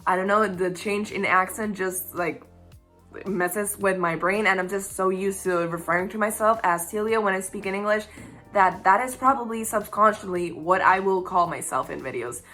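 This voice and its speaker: Bright-voiced chatty young woman. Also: mid-range voice, normal speed, faint music behind. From Spain. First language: Spanish. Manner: chatty and rambling, laughs often. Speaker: YouTube channel host. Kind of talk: casual Q&A vlog.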